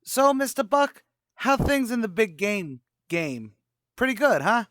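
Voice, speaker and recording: A man's voice: high voice, very animated, fairly bright tone, no echo, clean studio sound, exaggerated voice. A man doing a brash, slangy tavern manager. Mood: schmoozing. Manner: brash, fast-talking, slangy. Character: wisecracking adult male tavern manager. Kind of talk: old-time radio comedy acting.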